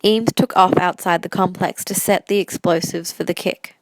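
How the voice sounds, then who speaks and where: A woman's voice: on the low side, fairly even intonation, moderate pace, no room sound. A woman reading scripted story narration for an audio drama.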